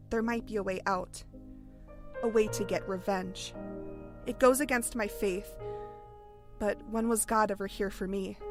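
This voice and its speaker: A woman playing a tense young woman in a horror audio drama, speaking gravely and with measure. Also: a little high, lightly acted. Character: tense young woman reading a grim journal.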